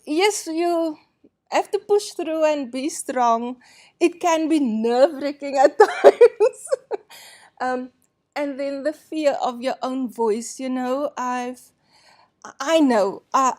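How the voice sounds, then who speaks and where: Slow-spoken woman lecturer. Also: a little high, darkish tone. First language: Afrikaans. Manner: unhurried, candid, self-deprecating, laughs often. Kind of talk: presentation to colleagues.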